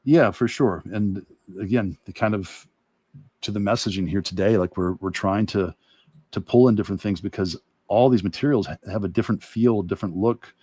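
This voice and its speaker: A man who teaches art, talking casually and thinking aloud in a halting way during a livestream demo. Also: lowish voice, mellow, slightly rough, no echo. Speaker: art instructor and illustrator.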